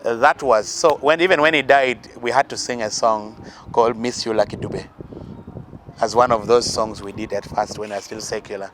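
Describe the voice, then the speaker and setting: A man's voice: on the low side, fairly even intonation, normal speed, a bit bright, slight background noise. Earnest Ugandan man giving advice. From northern Uganda. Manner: earnest, advising, with many fillers and restarts. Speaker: musician. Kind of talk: interview answers.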